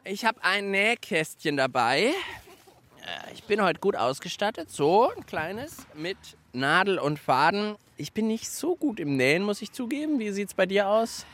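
A man's voice: high-pitched, sing-song, a little slow, a bit bright. A middle-aged man, hosting a children's radio show outdoors in a park, chatting casually and thinking aloud with fillers. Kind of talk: casual on-location radio chat.

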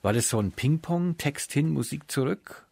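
A man interviewing a guest in a conversational cultural radio style, asking measured, inquisitive questions. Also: a little high, animated, gravelly, a little mellow, no room sound.

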